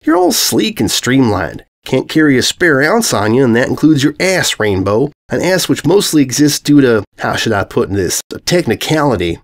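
A man's voice: medium pitch, big pitch swings, moderate pace, hardly any pauses. A man voicing a dry, folksy female cartoon pony who needles others in a fan story reading. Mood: teasing. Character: dry, teasing female orange farm pony.

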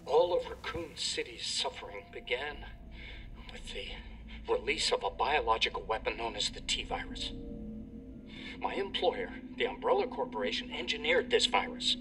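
A man's voice: mid-range voice, animated, moderate pace, recorded from a distance, over music, lightly acted. A man acting a guilt-ridden, bitter scientist making a solemn, emphatic confession in a video game.

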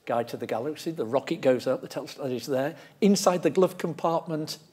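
Husky, higher-pitched middle-aged interviewer, male. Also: brisk, a little mellow. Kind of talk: on-stage interview. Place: large lecture theatre stage.

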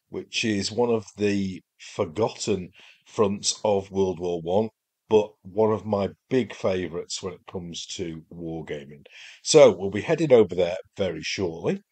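A man with a Yorkshire accent hosting a wargaming podcast, talking in a rambling, self-deprecating way, his voice chesty and hoarse from a chest infection. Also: on the low side, a little mellow. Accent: Yorkshire English. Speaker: podcast host. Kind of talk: casual solo podcast monologue.

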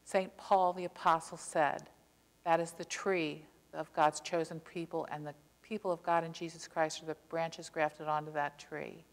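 An older woman preaches an impassioned sermon from a church pulpit, her voice sitting on the low side. She leans hard into key words, slowing down to drive them home. A long sanctuary reverb trails behind her through a clip-on mic. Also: level tone. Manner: earnest, impassioned preaching with slow emphatic stresses. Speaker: pastor.

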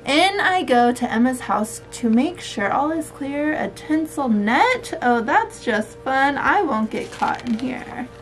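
Mother in her thirties, high-pitched and sing-song, reading to a small child at home, faint music behind. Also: expressive, slow pace, hardly any pauses, low-quality recording. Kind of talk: storybook read-aloud and casual chat with a child. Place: small room at home, indoors.

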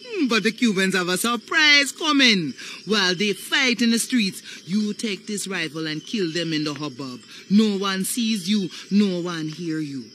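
An actress playing a sly older Haitian voodoo matriarch with a Caribbean accent, coaxing and ominous in a video game. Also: female voice, medium pitch, expressive, a little slow, hardly any pauses, bright, forceful tone, a little hiss or hum. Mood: commanding, sly. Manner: coaxing, ominous, dialect phrasing. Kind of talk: video game cutscene acting.

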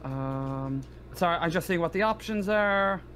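A man playing a tabletop game, chatting casually in an animated, sweary way. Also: high-pitched, a little slow, slight background noise. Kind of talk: casual game chat over online session.

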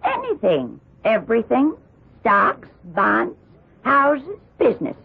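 An opinionated, loyal housekeeper with a high voice. She is folksy, gossipy and emphatic. The sound is a muffled 1970s radio drama. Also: huge pitch swings, takes it slowly, vocal fry, breathy edge, exaggerated voice. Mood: indignant, emphatic. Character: opinionated, plain-spoken housekeeper testifying, loyal to her employer.

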